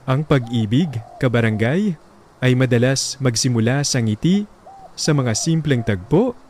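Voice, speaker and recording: A man narrating a sentimental love story in a solemn, deliberate storytelling way. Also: medium pitch, darkish tone. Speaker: radio drama narrator.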